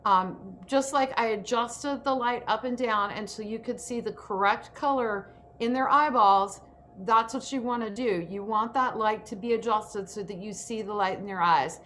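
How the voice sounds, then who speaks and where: A woman's voice: medium pitch, fairly bright tone. Enthusiastic woman teaching, with background noise. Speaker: host and acting self-tape instructor. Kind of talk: informal online workshop instruction. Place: indoor room on a video call. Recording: background noise on a video call.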